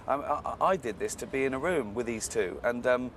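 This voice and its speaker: A man's voice: brisk, a bit bright. Teasing man with faint street noise. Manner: mocking, laughs into high-pitched giggles. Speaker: comedian guest. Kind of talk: teasing banter on a breakfast show.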